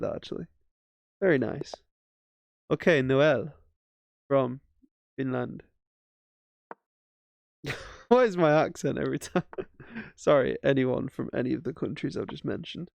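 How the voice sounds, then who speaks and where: A man's voice: mid-range voice, animated, measured pace, creaky, long pauses, darkish tone, no echo. A man casually commentating his own chess games as he plays, thinking aloud with self-mocking asides. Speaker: chess streamer.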